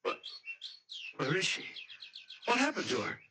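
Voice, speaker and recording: A man's voice: high-pitched, huge pitch swings, measured pace, exaggerated voice. An actor playing an earnest, alarmed young man in a radio drama. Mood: urgent, alarmed. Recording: noisy old radio recording, off-mic.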